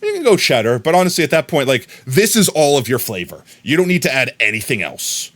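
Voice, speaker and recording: An American man talks casually to his viewers, rambling along and yelling excited thanks now and then. His pitch sits in the middle but moves a lot, so the voice sounds lively and animated. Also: a little fast. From United States. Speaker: livestreamer. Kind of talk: casual live-stream chat.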